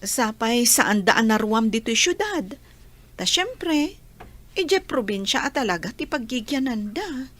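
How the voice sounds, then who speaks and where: A woman playing a gentle young college student in love, in a radio drama. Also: a little high, sing-song, slow pace, exaggerated voice. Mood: friendly.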